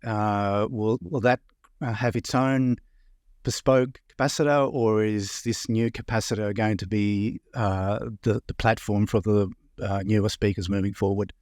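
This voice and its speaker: Low-voiced male interviewer, dry sound. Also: fairly even intonation, a little slow, darkish tone, no echo. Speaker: interviewer, audio magazine editor. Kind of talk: conversational interview questioning.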